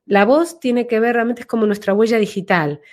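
A woman's voice: fairly high pitch, a little fast, a little mellow. A woman recovering from flu, explaining as an interview guest in a reflective way, repeating phrases for emphasis. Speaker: interview guest, voice work coach.

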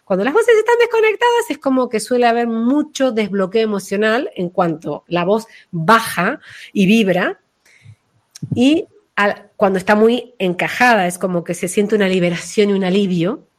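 A woman explaining her own method as a voice practitioner in an expressive, conversational way, illustrating her points as she goes. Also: mid-range voice, normal speed. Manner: expressive, acts out names and quotes to illustrate points. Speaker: voice and name-energy practitioner being interviewed.

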